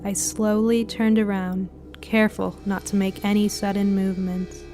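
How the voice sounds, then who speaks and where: A woman reading a scripted spooky short story as narrator, in a dramatic, deliberate way. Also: medium pitch, level tone. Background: music bed.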